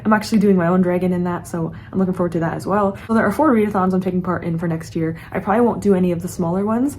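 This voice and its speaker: A woman's voice: fairly low pitch, darkish tone, a little hiss or hum. A woman in her late twenties, a book vlogger chatting casually and earnestly to her viewers. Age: late 20s. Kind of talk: casual chat to camera.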